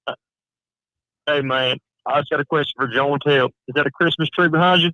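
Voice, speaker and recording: A man's voice: a little high, fairly quick, dry recording, highs cut off. A man from Alabama with a Southern accent calling into a podcast, playful and joking. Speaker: caller.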